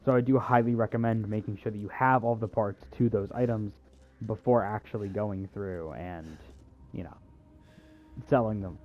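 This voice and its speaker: A man's voice: lowish voice, normal speed, muffled recording. A man giving casual video game walkthrough commentary, with hesitant, wry, self-deprecating asides.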